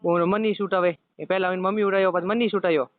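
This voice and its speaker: High-voiced Rajasthani man on a phone line. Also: fairly even intonation, quick pace, no echo. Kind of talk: casual private phone call. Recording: tinny phone line with background noise.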